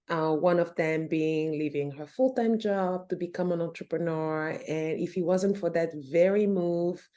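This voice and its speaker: A woman from Cameroon, a guest on a podcast, telling her life story in a relaxed, reflective way with fillers and restarts. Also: fairly low pitch. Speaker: podcast guest, nonprofit founder. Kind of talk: casual interview conversation.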